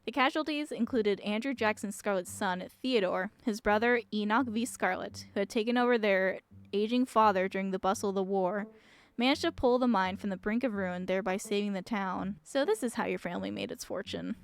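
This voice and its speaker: A woman's voice: medium pitch, lively intonation, fairly quick, dry recording. A woman streamer reading visual novel text aloud. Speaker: game streamer. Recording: music behind the voice. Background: music.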